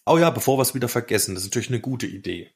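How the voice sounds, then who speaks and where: A male podcast co-host talks in a colloquial, enthusiastic way, as if among friends. His voice is mid-pitched and rather rough. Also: lively intonation, racing, darkish tone. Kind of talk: casual chat among friends.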